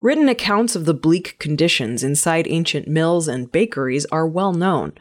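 A woman news presenter reading scripted news stories in a measured, clearly enunciated way. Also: sits low, lively intonation.

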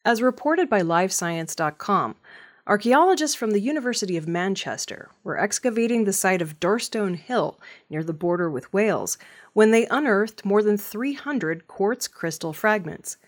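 A woman's voice: mid-range voice, lively intonation, moderate pace. A woman working as a news reader, delivering scripted news narration.

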